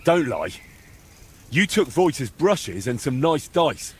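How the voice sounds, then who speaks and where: An actor playing a calm young man in a medieval game, questioning people in a measured, dryly mocking, insistent way. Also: fairly high pitch, breathy edge, exaggerated voice. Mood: firm, accusing. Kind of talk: video game dialogue acting.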